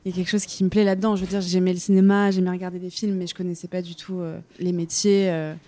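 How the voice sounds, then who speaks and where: A young woman from France talks in a low, warm, mellow voice. She speaks thoughtfully, pausing and hesitating often, recorded in a café-restaurant. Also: level tone. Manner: thoughtful, hesitant with many fillers. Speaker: guest, film script supervisor. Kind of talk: conversational interview.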